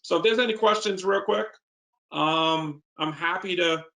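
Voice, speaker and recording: A man's voice: sits high, measured pace, gravelly, bright, forceful tone. A man with a New York accent, an investor speaking informally as a guest and answering questions in an emphatic, plain-spoken way.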